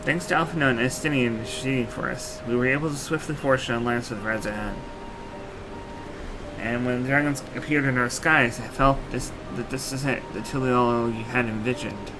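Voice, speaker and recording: A man performs a young game king who is solemn, courteous and caring. The words come formal and measured at a middling male pitch, over prominent music. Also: fairly even intonation, natural voice, low-quality recording. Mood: solemn, grateful. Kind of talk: video game dialogue acting.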